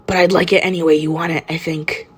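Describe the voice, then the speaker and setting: A woman voicing a blunt, gruff teenage boy in a story, speaking in a deadpan way. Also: deep voice, fast. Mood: offhand fondness.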